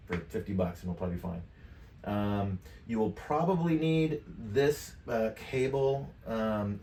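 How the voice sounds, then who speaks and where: Low-voiced American man, noisy room. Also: darkish tone. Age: middle-aged. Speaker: tutorial presenter. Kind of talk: casual demonstration. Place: furnished game room.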